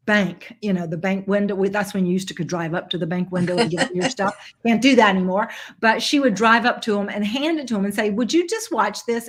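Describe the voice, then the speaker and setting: A middle-aged woman hosts a sales meeting over a video call. She talks in a chatty, rambling way full of ums and restarts, at a middle pitch. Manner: chatty, warm-hearted storytelling with fillers. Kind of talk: casual introduction on a video call.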